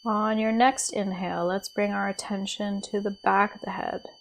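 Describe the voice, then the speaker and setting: A woman leading a guided body scan meditation as a teacher, speaking in a gentle, soothing, unhurried way with pauses. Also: medium pitch, steady tone, gravelly, a little hiss or hum, rough recording.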